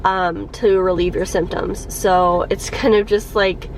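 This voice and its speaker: A woman's voice: medium pitch, flat delivery, a little slow, a little hiss or hum, poor audio. Congested woman chatting to her camera. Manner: chatty, many ums and likes, laughs a little. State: congested from a cold. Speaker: vlogger. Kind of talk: casual chat to camera. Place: parked car.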